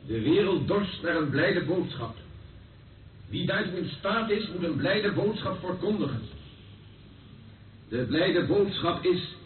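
An actor playing a solemn priest who preaches the gospel at mass in a declamatory way, in a Dutch radio drama. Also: male voice, sits high, expressive, slow, pauses often. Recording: distant echoey voice, tinny noisy old recording.

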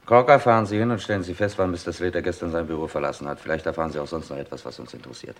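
A man's voice: sits low, racing. An actor playing a Scotland Yard chief in a radio crime drama, terse, businesslike and sceptical in his questioning. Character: brisk, probing Scotland Yard police chief.

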